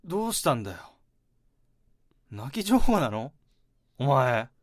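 A young man acting in a radio drama as a steady, caring friend, speaking in a measured, reflective way. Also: mid-range voice, no room sound, studio quality. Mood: concerned, casual. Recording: music and crowd sounds behind the voice. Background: music and crowd sounds.

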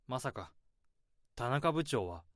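A man's voice: medium pitch, big pitch swings, takes it slowly, fairly bright tone, dry recording, clean studio sound. A man voicing a harried office worker who narrates in first person as he hides his boss's body, in a tense radio-drama monologue. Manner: tense inner monologue, rising to frantic self-pep talk. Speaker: narrator and protagonist.

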